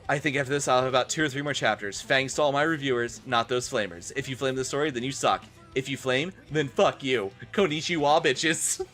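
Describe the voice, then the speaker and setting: A man with a bright, forceful voice reads fan fiction theatrically for a live stream. He often slips out of the story into amused comments, with music playing behind him. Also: mid-range voice. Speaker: streamer doing a dramatic reading.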